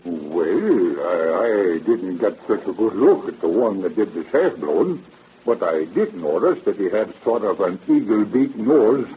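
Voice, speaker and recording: A man's voice: low voice, lively intonation, measured pace, phone-quality sound, a little hiss or hum. An actor playing an older, talkative and obliging night watchman in a folksy, hesitant drawl, in old-time radio drama style. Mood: eager, obliging.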